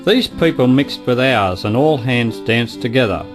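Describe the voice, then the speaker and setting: A man's voice: mid-range voice, animated, a little slow. A man narrating, reading historical quotations aloud in a scripted manner. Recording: plucked guitar music behind voice. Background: guitar music.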